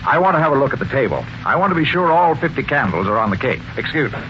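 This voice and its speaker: A man's voice: medium pitch, sing-song, quick pace, fairly bright tone. An actor playing a rich, domineering businessman in his sixties, bluff and patronizing, in 1940s radio style. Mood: bluff geniality. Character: rich domineering businessman over sixty, bluffly genial host. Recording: muffled 1940s radio, faint noise.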